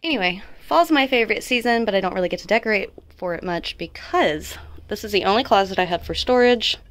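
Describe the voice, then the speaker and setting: A woman's voice: mid-range voice, expressive, fast talker, a bit bright. Chatty woman giving a home tour. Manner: chatty, laughs often, self-deprecating asides. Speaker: vlogger and homeschooling mom. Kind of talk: casual house tour narration. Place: small home, indoors.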